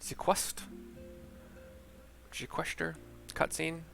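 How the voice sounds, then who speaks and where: Bright-voiced man narrating gameplay over music. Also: a little high, level tone, slow pace, creaky. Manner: mutters asides and spells out names. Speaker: video game player narrating a playthrough. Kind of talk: casual gameplay commentary with read-aloud narration. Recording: music behind the voice.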